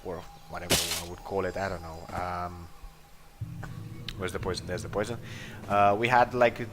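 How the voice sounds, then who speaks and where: A man's voice: lowish voice, a bit bright, slight background noise. A man giving casual gameplay commentary, thinking aloud in a rambling, hesitant way. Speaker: video game player commentator. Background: game music.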